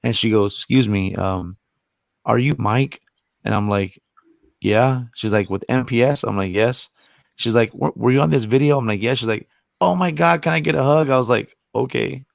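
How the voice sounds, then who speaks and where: Gravelly-voiced Texan man. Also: medium pitch, normal speed, no echo, studio quality. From San Antonio, Texas. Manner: storytelling, acts out his own quoted lines. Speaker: guest, paranormal investigator. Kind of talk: casual chat.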